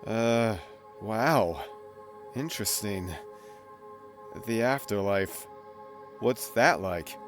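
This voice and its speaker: A man's voice: lowish voice, very slow, pauses often, faint music behind. A man playing a casual, sardonic, hesitant soul with a guilty conscience in an audio drama. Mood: uneasy, probing. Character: newly dead man, flippant, nervous, guilt-ridden soul. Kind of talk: naturalistic audio drama acting.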